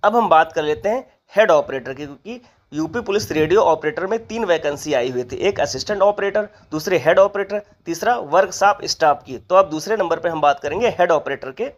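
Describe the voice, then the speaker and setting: A presenter giving an informational explainer, methodically reading out details and figures. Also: mid-range voice. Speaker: exam update presenter.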